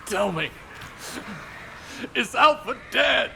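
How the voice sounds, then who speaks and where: An overwrought, childlike soldier from a video game. His sobbing voice is creaky and drags very slowly through each melodramatic wail. There is faint noise behind him. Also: very high-pitched, very animated, pushed and bright, heavily acted. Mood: tearful, pleading. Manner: melodramatic, wailing.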